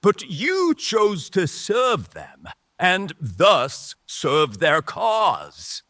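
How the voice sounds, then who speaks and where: A man voicing a menacing, mocking rebel mage leader in an audio drama, with an oratorical, drawn-out, taunting delivery. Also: high-pitched, wildly swooping pitch, bright, forceful tone, dry recording, clean studio sound, voice pushed up, full character voice. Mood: rousing, scornful.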